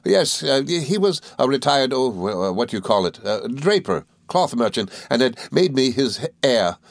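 A man voicing a cheerful, good-natured young gentleman law student in an audiobook, eager and flustered, with little stammering hesitations. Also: mid-range voice, sing-song, normal speed, vocal fry, no echo, clean studio sound, voice pushed up. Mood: flustered, mild. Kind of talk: audiobook character dialogue.

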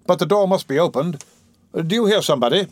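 Man acting out a pompous manservant, voice raised high. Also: lively intonation, dry recording. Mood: nervously composed. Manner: self-important, emphatic, drawn-out storytelling. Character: pompous male household servant proudly recounting a robbery. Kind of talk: dramatised storybook dialogue.

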